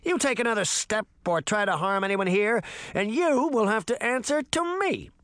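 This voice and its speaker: A cocky young trickster boy, put on by a male narrator, speaks in a raised, lively voice. He is brash, brave and taunting, a storybook fairy hero. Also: fairly high pitch, fairly quick, no echo, studio quality, pitched above the performer's own voice. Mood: defiant.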